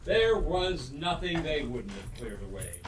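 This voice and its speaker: A man's voice: fairly high pitch, very animated, measured pace, background noise. A man narrating a Christmas tale on stage as a theatrical storyteller. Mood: expressive storytelling. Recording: roomy live stage, distant mic, music behind. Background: music.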